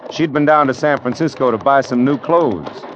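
An American actor playing a steady, wry frontier man in an old radio western, measured and dry, sometimes narrating his own tale. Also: medium pitch, a little hiss or hum, close to an everyday voice. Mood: measured narration. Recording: muffled vintage radio recording with music.

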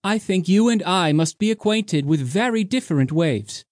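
A man narrator voicing a mysterious, whimsical ancient sea woman who seems young, speaking softly and precisely. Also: high voice, lively intonation, normal speed, no room sound, studio quality, pitched above the performer's own voice. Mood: wistful. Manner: soft, precise, lilting.

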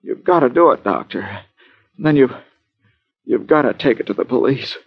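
A frightened bedridden American man, stammering and pleading in a mid-range voice. It is old radio crime drama, halting and urgent, with a dull vintage sound. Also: medium pitch, lively intonation, husky. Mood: anxious, urgent. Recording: muffled vintage radio broadcast recording.

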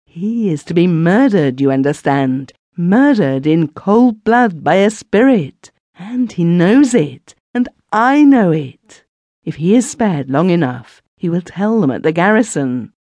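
A woman's voice: low-pitched, darkish tone, dry recording. A reader voicing a terrified female spirit medium who pleads in frantic, gasping bursts, as in an audiobook. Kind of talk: audiobook character reading.

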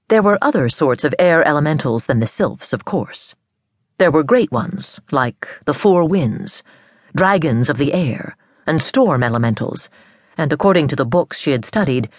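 A woman narrating an audiobook of fiction in a measured, storybook manner with careful phrasing. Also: deep voice, a little mellow, dry recording, studio quality.

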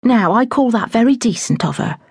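Woman putting on a fussy housekeeper, bossy, dramatic, husky edge, very dry sound. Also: a little high, expressive, a little fast, no room sound, voice pushed up, heavily acted. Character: flustered middle-aged female housekeeper, bossy.

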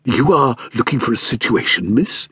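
A man voicing a stout, jovial, excitable British gentleman in an audiobook, effusive and blustering. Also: mid-range voice, moderate pace, husky, dry recording, studio quality, heavily acted. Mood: genial, delighted. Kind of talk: audiobook character acting.

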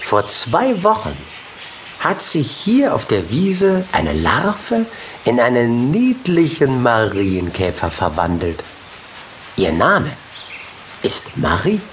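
A man narrating a children's audiobook expressively, in short measured phrases. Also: mid-range voice, background noise. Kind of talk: scripted children's story narration.

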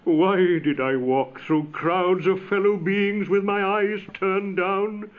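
A man voicing the solemn, mournful ghost of an old man, speaking slowly and gravely. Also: high voice, fairly even intonation, voice pushed up. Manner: slow, grave, drawn-out delivery. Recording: dull lo-fi recording.

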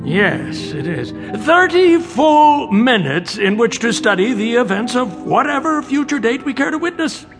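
An actor playing a proud, eccentric inventor professor in a comic radio drama, explaining grandly and deliberately. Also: male voice, very high-pitched, big pitch swings, over music, heavily acted. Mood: instructive, pompous.

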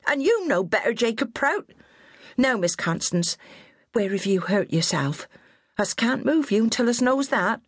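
A bossy old countrywoman with a scolds and fusses in a raised, husky voice, as a woman narrator puts her on. Her speech is blunt and grim, pitched well above the reader's own. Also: big pitch swings, a little slow, no room sound, clean studio sound, full character voice. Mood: scolding. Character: stubborn rustic old countrywoman, bossy and fussing.

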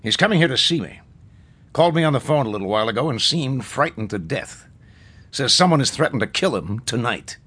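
A society police commissioner in an audiobook mystery, brisk and businesslike. His mid-pitched voice is husky, with a worried undertone. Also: lively intonation, bright, forceful tone. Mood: concerned, brisk. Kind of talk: full-cast audiobook acting.

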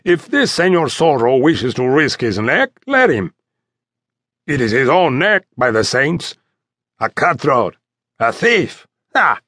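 Man voicing a blustering, boastful sergeant, swooping pitch. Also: big pitch swings, takes it slowly, a bit bright, no echo, full character voice. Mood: scornful. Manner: blustering, exclamatory. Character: boastful, blustering soldier sergeant, loud and hearty. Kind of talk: audiobook character voice.

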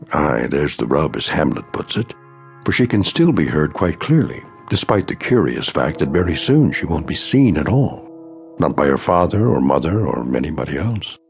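An actor playing a grave, wry narrator of eerie radio drama tales, measured and literary. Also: male voice, low-pitched, creaky, full character voice.

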